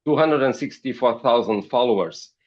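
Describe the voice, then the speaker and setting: A man from Portugal with a Portuguese accent, giving interview answers as a podcast guest in a halting, hesitant way, often repeating words. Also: medium pitch, a little mellow, highs cut off. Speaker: podcast guest, company founder.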